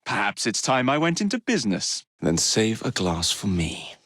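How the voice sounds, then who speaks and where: A man's voice: on the low side, huge pitch swings, moderate pace, fairly bright tone, dry recording, clean studio sound, exaggerated voice. A man playing a cocky young Victorian London gang leader in a video game, with an English accent and a cheeky, wry manner. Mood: brisk, cocky.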